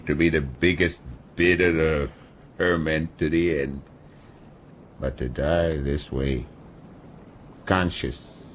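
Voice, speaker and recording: Slow, deep-voiced ailing, sardonic sea captain. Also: male voice, slight background noise. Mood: bitter, resigned. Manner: mocking, deliberate, philosophical. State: ailing, weakened. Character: brutal, sardonic ship's captain, ailing and half-paralyzed, defiant. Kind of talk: radio drama acting.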